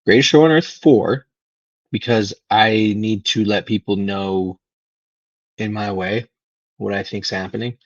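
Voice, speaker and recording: A man from Venezuela talking casually and unscripted as a livestream host, rambling and halting as his thoughts trail off. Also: on the low side, fairly even intonation, no room sound, dull audio. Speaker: live stream host.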